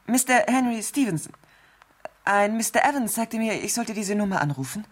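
Female, high and slightly rough voice: spoiled, frightened wife, agitated, halting, pleading. Seventies radio crime drama. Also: expressive. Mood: uneasy, insistent. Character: anxious, demanding bedridden rich wife, fearing for her life. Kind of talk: 1970s German radio thriller acting.

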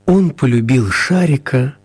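A male narrator for a children's radio play, his voice a little husky and mid-pitched. He tells the story in a measured, expressive manner with gentle humour.

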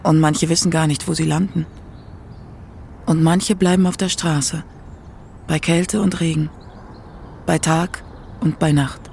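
A man narrates a radio documentary from a script. His voice sits a little high and has a marked gravelly fry, with faint noise in the background. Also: fairly even intonation, measured pace, long pauses, a little mellow.

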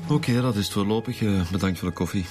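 A man's voice: fairly low pitch, quick pace, darkish tone, close to an everyday voice. A man acting as a polite, inquisitive Flemish police inspector in a radio drama. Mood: probing. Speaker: actor in a radio serial.

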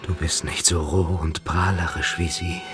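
An actor playing a timid, lovesick boarding-school boy in a radio drama, breathy and half-whispered, hushed and imploring. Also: deep voice, huge pitch swings, normal speed. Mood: tender, pleading. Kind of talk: intimate radio drama acting. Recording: music loud behind the voice. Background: music.

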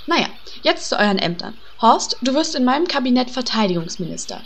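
A woman acts out a vain, bossy female guild leader in a comedy audio play. Her voice is fairly high and very bright, with a commanding, coquettish manner. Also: very animated, vocal fry, a little hiss or hum, full character voice. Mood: haughty. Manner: commanding, brisk. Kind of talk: comic audio drama parody.

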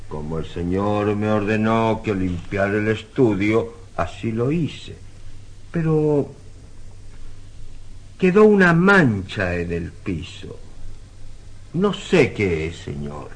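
An actor playing a deferential manservant in a radio drama, speaking formally and stiffly. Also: male voice, on the low side, very animated, slow pace, pauses often, heavily acted, rough recording. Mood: apologetic.

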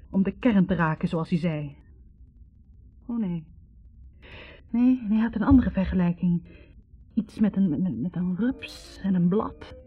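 An actress playing a wounded young woman in a 1960s radio drama, bitterly and haltingly confessing a painful past. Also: on the low side, slightly rough. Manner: hesitant, halting, introspective. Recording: dull vintage radio recording.

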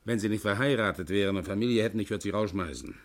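An actor playing a stern, irritable company boss, brusque and reproachful, in a 1960s radio drama. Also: male voice, on the low side, rapid-fire, lightly acted. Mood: angry, scolding. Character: stern, irritable male boss of a haulage firm.

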